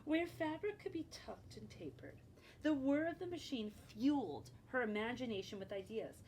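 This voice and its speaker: A woman librarian reads a picture book aloud to children expressively, pausing to explain things and prompt her young listeners. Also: on the high side, expressive, a little mellow, a little hiss or hum, low-quality recording.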